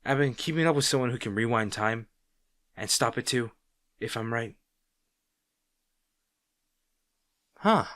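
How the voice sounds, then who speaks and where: A man's voice: medium pitch, normal speed, a bit bright, no room sound, close to an everyday voice. A man playing a wry, insecure, secretly fond supervillain rival in a roleplay audio drama, talking to the listener in a hesitant, conversational, sardonic way. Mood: incredulous.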